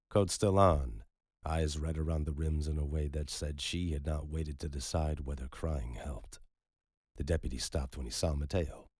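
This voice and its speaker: A man narrating a dark story in a slow, brooding, measured way. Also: very deep voice, gravelly, slightly rough, dry recording, clean studio sound.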